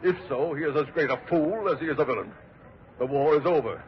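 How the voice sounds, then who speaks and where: A man acting an indignant statesman in an old radio play. His voice is fairly high, his delivery formal and oratorical, on a dull recording with some faint noise. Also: brisk, natural voice. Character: indignant, grieving statesman, Secretary of the Navy.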